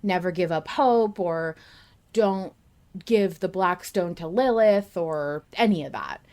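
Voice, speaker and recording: Woman podcast host, slightly low voice, chatty, talking through her reasoning with emphasis. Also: slow pace, darkish tone. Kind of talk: casual podcast discussion of a story.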